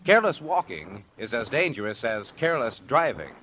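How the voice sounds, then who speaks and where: A man reading scripted announcements as a formal, measured 1950s radio announcer. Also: mid-range voice, sing-song. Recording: faintly noisy old radio recording.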